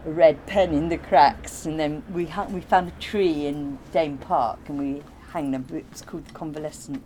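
A woman's voice: low-pitched, fairly even intonation, moderate pace, mellow, slight background noise. A woman in informal conversation, explaining and recollecting in a rambling way. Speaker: artist being interviewed.

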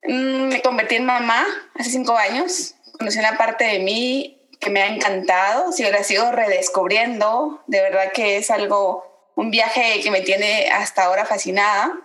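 A woman from Guatemala, introducing herself on a podcast in a reflective way, pausing often. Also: mid-range voice, steady tone, fairly bright tone, echoey room, poor audio. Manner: reflective, many pauses and fillers. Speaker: podcast guest. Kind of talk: casual self-introduction.

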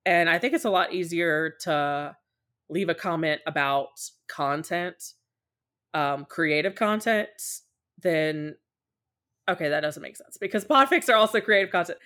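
A woman's voice: on the low side, lively intonation, unhurried, pushed and bright. A woman talking casually and candidly as a podcast guest, at length and in a conversational way. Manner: talkative and candid, often acting out short quotes. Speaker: podcast guest, podfic creator.

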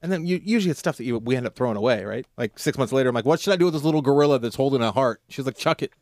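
A man co-hosts a casual talk show with a steady, mid-range pitch. He talks quickly and cracks jokes throughout. The sound is dry and close. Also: no echo. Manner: joking, wisecracking. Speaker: co-host.

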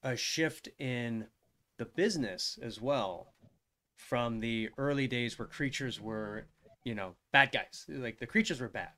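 A man's voice: medium pitch, unhurried, pushed and bright. A man hosting a live Q&A, asking careful, probing questions and thinking them through as he speaks. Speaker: host and interviewer. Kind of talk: live Q&A interview.

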